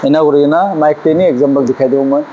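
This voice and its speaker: A man's voice: on the high side, level tone, rapid-fire, dull audio, background noise. A man gives an informal shop tour as a seller, rambling and repeating himself with English words mixed in. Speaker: music store seller presenting stock. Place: small cluttered music store.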